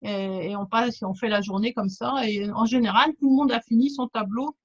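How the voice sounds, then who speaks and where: A high-pitched woman leads an online art class, talking casually with plenty of fillers. Her voice comes through a muffled, lo-fi video-call line. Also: steady tone, normal speed, slightly rough. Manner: chatty, informal, many fillers and laughs. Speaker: pastel art teacher hosting a webinar. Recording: dull lo-fi call audio.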